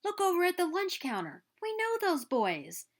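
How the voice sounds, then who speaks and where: A woman's voice: very high voice, wildly swooping pitch, moderate pace, voice pushed up, exaggerated voice. A woman voicing an eager, earnest young girl in a storybook, with childlike directness. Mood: surprised.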